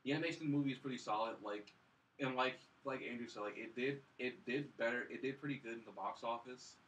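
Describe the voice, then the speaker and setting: A man with a bright, forceful voice talks casually in a panel chat, picked up a little away from the mic. He rambles, circling back and padding his phrases with "like". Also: medium pitch, quick pace, far from the mic, a little hiss or hum, poor audio. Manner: rambling, heavy use of "like". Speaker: podcast panelist reviewing films.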